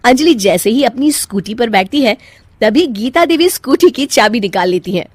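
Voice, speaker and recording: High-voiced woman narrating a story. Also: expressive, moderate pace, a little hiss or hum. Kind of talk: scripted cartoon story narration.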